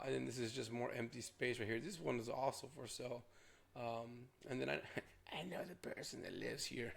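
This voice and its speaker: Hoarse, gravelly man rambling casually. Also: mid-range voice. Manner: rambling, filler-heavy. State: hoarse from a cold. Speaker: realtor. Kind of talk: casual vlog walkthrough.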